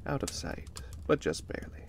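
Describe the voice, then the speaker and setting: A man voicing a suave, gently possessive vampire catboy in a soothing ASMR roleplay, breathy and often whispering, with formal, deliberate phrasing. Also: a little hiss or hum, close to an everyday voice. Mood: smooth, commanding. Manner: slow, deliberate, formal, reassuring.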